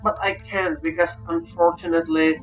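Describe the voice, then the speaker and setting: A man with a non-native English accent giving an informal, unscripted game review, halting but emphatic about his opinions. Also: fairly high pitch, level tone, dull audio, faint music behind. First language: not English.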